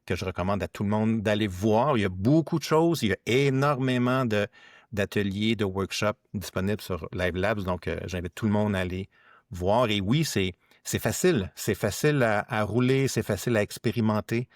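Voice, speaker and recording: A man with a Quebec French accent hosting a casual tech podcast, speaking haltingly and repeating his words. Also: on the low side, no room sound, clean studio sound. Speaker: podcast host.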